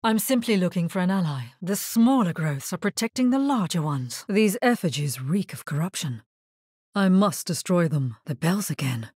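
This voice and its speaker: An actress playing a grave, resolute necromancer in a dark fantasy video game, speaking scripted lines in a measured, husky voice. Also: female voice, lowish voice, expressive, dry recording, clean studio sound. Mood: grim, wary.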